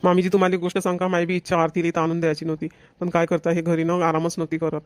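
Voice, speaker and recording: Cartoon son-in-law played by a man, earnest but easily slighted, high male voice, quick Vidarbha Marathi, dry sound. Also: completely flat delivery, clean tone, no echo, natural voice. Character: concerned, touchy son-in-law visiting his wife's family. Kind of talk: family comedy cartoon dialogue.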